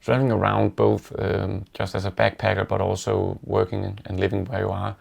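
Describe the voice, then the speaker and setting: Gravelly Danish-accented man, low and flat. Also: a little fast. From Denmark. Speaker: interviewee. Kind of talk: casual reflective storytelling.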